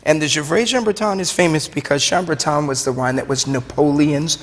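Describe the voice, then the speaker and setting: A man's voice: fairly high pitch, steady tone, fairly quick. A man with a Brooklyn accent explaining things in a chatty, enthusiastic, conversational way as an interview guest. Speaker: wine shop owner, interview guest. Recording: long hollow room reverb.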